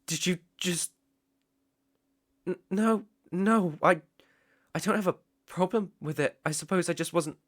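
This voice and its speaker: A man plays a devoted British butler in a romantic ASMR roleplay. He speaks slowly in a slightly high male voice, proper and deferential, with a hesitant edge. The sound is dry and intimate. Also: fairly bright tone, dry recording. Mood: flustered, nervous. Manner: polite, hesitant, stammering, deferential.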